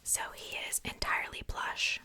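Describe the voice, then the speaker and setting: A woman speaking in a soft, breathy whisper, chatting casually and unhurriedly in ASMR style close to a sensitive microphone. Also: normal speed. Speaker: ASMR video creator.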